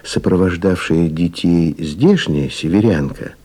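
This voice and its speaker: Narrator for radio drama: calm storyteller, very low, dark, mellow voice, literary delivery. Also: male voice, unhurried, breathy edge. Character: calm storyteller setting the scene. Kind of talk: literary scripted narration, 1970s Soviet radio play.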